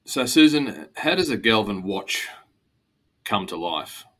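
A man's voice: fairly low pitch, sing-song, slow pace, a bit bright. A man hosting an interview, putting conversational questions to a guest. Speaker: interviewer, host.

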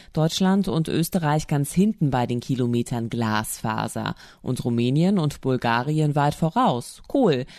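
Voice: medium pitch, warm tone, dry recording, studio quality. A person reading out written reader comments as quotations in a commentary podcast.

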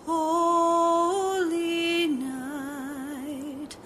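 A woman singing a carol slowly in a lullaby style. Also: very high-pitched, little pitch movement, a bit bright, faint music behind. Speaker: singer. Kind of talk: slow a cappella carol singing.

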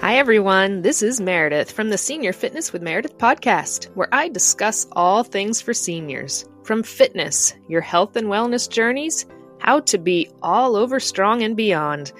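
A woman's voice: medium pitch, animated, moderate pace, a bit bright. A woman reading a scripted promo as a podcast host. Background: music.

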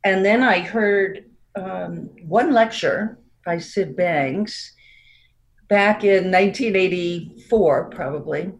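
A woman in her sixties with a New York accent, speaking as a therapist guest in a reflective, unhurried way with frequent light laughs. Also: fairly low pitch. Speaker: marriage and family therapist guest. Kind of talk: reflective interview talk.

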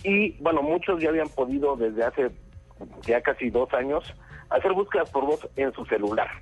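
Mexican male spokesman, raspy voice, explanatory with fillers, soft music bed. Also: mid-range voice, animated, moderate pace, dull audio. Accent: Mexican Spanish. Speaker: company spokesman. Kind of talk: interview answers.

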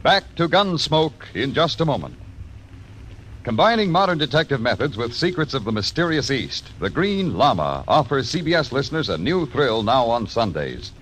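A man announcing for a 1940s radio network with a lively, formal cadence. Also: mid-range voice, normal speed, rough recording. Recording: old radio broadcast, faint hiss.

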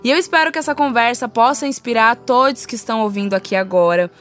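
A woman's voice: a little high, fast, a bit bright, faint music behind. A Brazilian woman hosting a podcast in a conversational style, effusive and encouraging. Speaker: podcast host.

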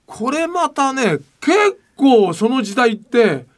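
A male talk show host with a high voice and highly animated intonation. He speaks casually and colloquially, laying out his opinions bluntly and with emphasis. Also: slow, crowd noise.

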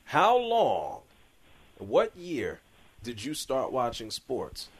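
A male sports talk host keeps prodding an older caller with follow-up questions. His pitch rises and falls a lot as he talks. The sound is dry and close, straight from a radio studio. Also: wildly swooping pitch, drawn-out words, slightly rough, dry recording. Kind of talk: radio call-in chat.

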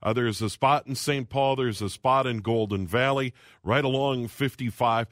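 A man hosting a radio call-in show from a studio, reading out phone numbers slowly and making brisk station announcements. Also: mid-range voice, fairly bright tone, dry recording. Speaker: radio host.

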